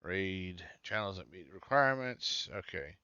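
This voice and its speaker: A man's voice: deep voice, expressive, unhurried, bright, forceful tone, clear, resonant tone, no room sound, muffled recording. Scratchy-voiced man streaming a game. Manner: muttering while searching through settings. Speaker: gaming streamer. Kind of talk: live game stream, talking to himself.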